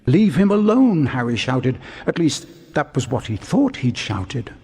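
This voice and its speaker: A man reading scripted storybook narration in a dramatic, husky voice. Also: medium pitch, big pitch swings, unhurried, darkish tone. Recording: long hall reverb.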